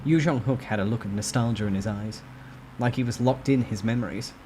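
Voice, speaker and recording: Guy doing dramatic storytime asmr narration, normal male pitch, expressive, noisy background. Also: fairly quick.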